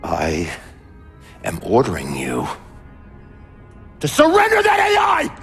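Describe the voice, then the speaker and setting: An actor playing a stubborn, officious older warship captain in a dramatic video game cutscene. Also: male voice, mid-range voice, huge pitch swings, slow, a bit bright, exaggerated voice. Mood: furious. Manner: commanding, officious. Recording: long reverb, music underneath.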